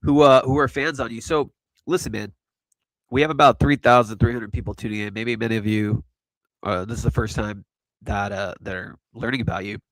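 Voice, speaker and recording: A man's voice: medium pitch, fairly even intonation, a little fast, fairly bright tone, no echo, rough recording. A man hosting a casual live crypto chat, rambling and laid-back with lots of fillers, stuffy from allergies. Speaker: host.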